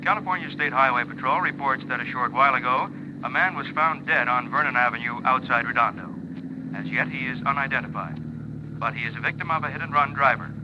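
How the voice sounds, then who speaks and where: An actor playing a formal, impersonal newsreader giving a 1950s radio bulletin. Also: male voice, mid-range voice, fast, lightly acted. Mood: urgent, formal. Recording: muffled noisy 1950s radio, engine-like drone.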